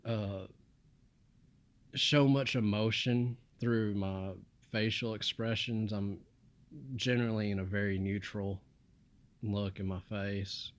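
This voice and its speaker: A young man from the United States rambling in a flat, monotone voice with mumbled asides. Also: on the low side, slow pace, long pauses. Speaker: vlogger. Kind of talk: casual rambling monologue.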